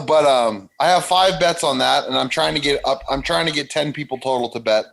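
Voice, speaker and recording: A man's voice: mid-range voice, normal speed, vocal fry, fairly bright tone, low-quality recording. A man chatting casually as co-host over a video call, often restarting his sentences. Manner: casual, repeats himself when starting sentences. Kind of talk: casual podcast chat.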